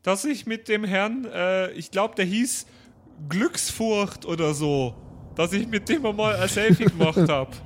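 A man's voice: high-pitched, measured pace, slight background noise. A man improvising casually in character as a player in a tabletop role-playing game.

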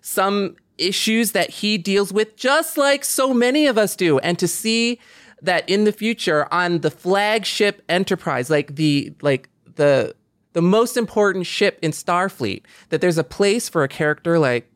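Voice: mid-range voice, expressive, a little slow, no echo, studio quality. A person in a casual podcast chat, speaking earnestly and feeling their way through sentences with frequent "like".

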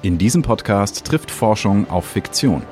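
Deep-voiced man explaining science. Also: lively intonation, measured pace, a little mellow, faint music behind. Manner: clear, explanatory. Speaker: podcast host and science journalist. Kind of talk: scripted science narration.

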